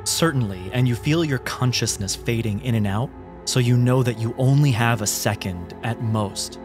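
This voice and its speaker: A man runs a tabletop game as its narrator, with clear music playing behind him. His voice sits at a middling pitch, and he describes scenes in a deliberate, dramatic storytelling manner. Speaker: game master.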